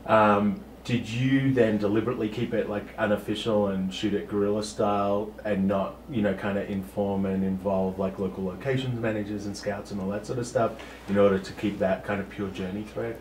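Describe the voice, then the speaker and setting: A man's voice: on the low side, fairly even intonation, a little fast, hardly any pauses, darkish tone. A man hosting a panel interview, asking long, rambling questions. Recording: roomy, from a distance.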